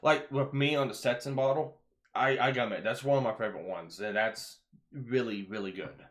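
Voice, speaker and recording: A man hosts a casual live stream in a voice of ordinary height. He speaks loosely and hesitantly, filling pauses with "uh" as he relays viewer comments. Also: medium pitch, fairly bright tone. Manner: hesitant, with fillers. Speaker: show host.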